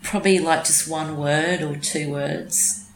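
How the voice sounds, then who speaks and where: A woman's voice: low voice, steady tone, unhurried, roomy sound, faint music behind, poor audio. A woman with an Australian accent, a community radio presenter chatting in an interview, hesitant, with many ums and restarts. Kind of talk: casual interview chat.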